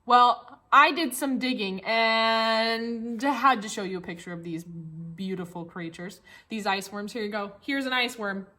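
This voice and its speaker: A woman's voice: mid-range voice, unhurried. A woman with an American accent teaching young children, explaining simply with repetition and playful, exaggerated questions. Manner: animated, exaggerated surprise and rhetorical questions for children. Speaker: elementary school teacher. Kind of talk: read-along tracing lesson for young children.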